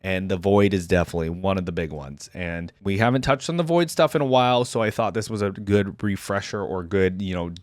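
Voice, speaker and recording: A man's voice: mid-range voice, dry recording, clean studio sound. A man hosting a casual video game reaction, rambling informally and talking straight to his viewers. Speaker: YouTube reaction host.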